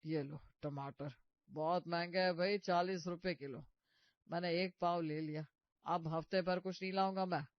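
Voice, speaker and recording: A man acts out a penny-pinching, bossy husband from a comic cartoon story. His voice is notably high for a man and full of grumbling. It is recorded very dry, with a slightly muffled sound. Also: fairly even intonation, normal speed, dry recording, lightly acted. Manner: complaining, bossy. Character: stingy, grumbling husband and householder.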